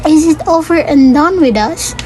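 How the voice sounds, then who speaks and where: A woman's voice: high voice, lively intonation, normal speed, mellow, pitched above the performer's own voice. A woman voicing a solemn, courteous ghost child with old-fashioned formal phrasing in a puppet show. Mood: courteous, grateful.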